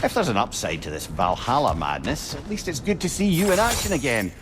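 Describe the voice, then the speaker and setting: A man playing a chatty, wry and wise companion to a god in a video game, talkative and expressive. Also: mid-range voice, brisk, music in the background, lightly acted. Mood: concerned, fond. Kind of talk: video game cutscene acting.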